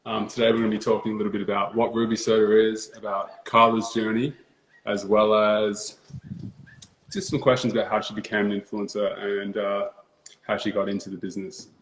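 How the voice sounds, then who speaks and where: A man's voice: fairly low pitch, level tone, a little fast. A man hosting a live-streamed interview, casual and upbeat, laughing often. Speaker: host. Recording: echoey room.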